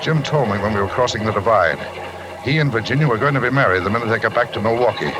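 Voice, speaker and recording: A man's voice: on the low side, quick pace, natural voice. An actor playing a folksy middle-aged American railroad man who justifies himself talkatively, in old-time radio drama style. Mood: haunted, intense. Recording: noisy, hissy vintage radio broadcast.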